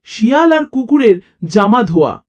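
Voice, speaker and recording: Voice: mid-range voice, sing-song, moderate pace, darkish tone, muffled recording. A storyteller reading scripted funny stories for children.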